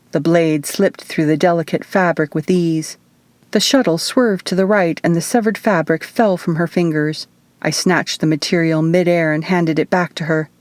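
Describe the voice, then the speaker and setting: A woman's voice: on the low side, a little mellow, no room sound. A woman reading scripted first-person fiction as an audiobook narrator. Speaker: author reading own audiobook.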